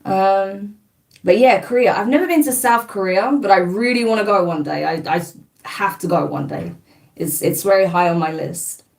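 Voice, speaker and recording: A woman's voice: medium pitch, moderate pace, creaky, darkish tone, distant mic. A woman with a slight New Zealand twang hosting a live card-reading stream, chatting casually and rambling as she reads viewer comments and bluntly dismisses trolls.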